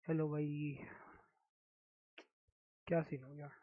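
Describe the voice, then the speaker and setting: A young man from India chats softly on his game stream, his throat sore and hoarse. His slightly high voice stays flat and even. The sound is thin and tinny, like a phone line, with no room echo. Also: takes it slowly. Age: young adult. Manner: speaks softly, mic-checking. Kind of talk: casual live-stream chat.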